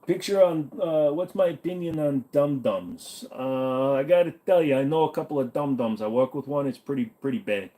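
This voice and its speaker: Brief: swaggering middle-aged mob tough, done by a man as a bit, chummy and boastful, thick exaggerated drawl, mid-pitched. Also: normal speed, few pauses, dark tone, lightly acted.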